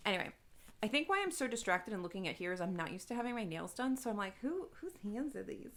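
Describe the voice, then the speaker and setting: A woman's voice: mid-range voice, big pitch swings, quick pace, a bit bright, slight background noise. A woman chatting casually to viewers as a book reviewer, informal, gushing and emphatic, with some self-deprecation. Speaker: book reviewer, video host.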